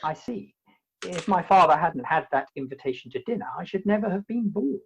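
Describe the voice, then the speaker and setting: A woman with a husky voice playing a proud, earnest young gentleman in a comic radio play. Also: on the low side, expressive, fairly quick, exaggerated voice. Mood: proud, humbled. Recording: slightly dull video call audio.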